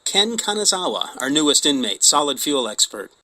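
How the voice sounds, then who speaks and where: Dry, sarcastic engineer in a radio drama, sharp tone. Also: male voice, on the high side, big pitch swings, normal speed, no room sound, full character voice, rough recording. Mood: wry. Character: wisecracking male engineer on an air base.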